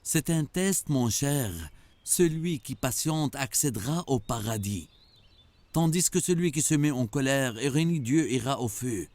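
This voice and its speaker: An actor playing a wise, patient mentor who explains moral lessons in a children's religious cartoon. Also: male voice, medium pitch, animated, a little mellow, no room sound, close to an everyday voice. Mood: patient, instructive. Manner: didactic, measured, affectionate address.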